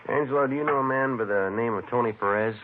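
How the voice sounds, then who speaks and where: An actor playing a terse, matter-of-fact police sergeant who narrates and questions in an old radio crime drama. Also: male voice, mid-range voice, fast talker, noisy background, natural voice. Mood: probing. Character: terse, businesslike male police sergeant narrating his case. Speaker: lead detective and narrator. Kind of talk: clipped procedural radio drama narration and dialogue. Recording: tinny vintage radio recording.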